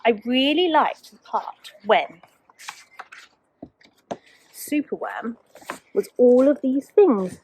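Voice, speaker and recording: Female primary teacher as storyteller: expressive, slow-paced, fairly high voice for small children. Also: slight background noise, low-quality recording. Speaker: teacher reading a children's book. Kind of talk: expressive rhyming storybook narration for young children.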